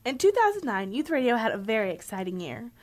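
A woman's voice: on the high side, big pitch swings, quick pace, faint music behind. A woman presenting a youth radio segment, reading an upbeat scripted introduction.

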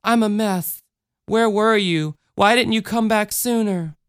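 Someone putting on a high, whiny, grouchy little girl. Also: unhurried, smooth, lightly acted. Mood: grumbling, sad. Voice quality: small high childlike voice. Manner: whiny, petulant. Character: distraught grouchy little girl, whining and indignant.

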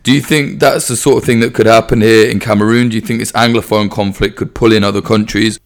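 A man with a fairly low, gravelly voice asks questions in a casual, blunt way. His speech is informal and emphatic, like an interviewer chatting with a guest. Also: steady tone, quick pace.

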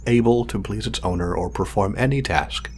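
A low-voiced, proud male inventor acted by a man in a sci-fi audio drama. He talks formally and measuredly, with condescending exactness, over a clearly audible lab hum. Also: normal speed, natural voice. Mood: formal, exacting. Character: pompous male craftsman of artificial companion drones, exacting, proud. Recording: clear background noise, sci-fi lab ambience.

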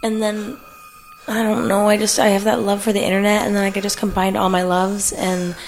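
A woman playing a breezy, evasive, apologetic lover in improvised radio comedy, speaking haltingly and with understatement. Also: mid-range voice, little pitch movement, slight background noise, close to an everyday voice.

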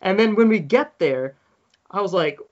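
A man's voice: high-pitched, lively intonation, normal speed, darkish tone, lo-fi sound. A man in his thirties, originally from South Africa, telling stories as a podcast guest, laughing often and acting out the people he quotes. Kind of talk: casual storytelling.

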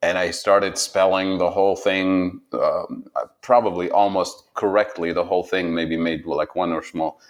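A man from Tunisia, telling personal stories in an interview, reflective and halting, often laughing softly. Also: low voice, steady tone.

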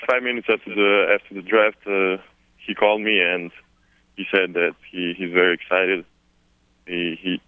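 A young man from Serbia with a Serbian accent, answering reporters on a conference call, halting and repeating words with many fillers. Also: deep voice, smooth, dry recording. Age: 20s. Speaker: newly drafted basketball player. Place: at home, far from the press. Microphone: telephone. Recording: tinny dry phone line.

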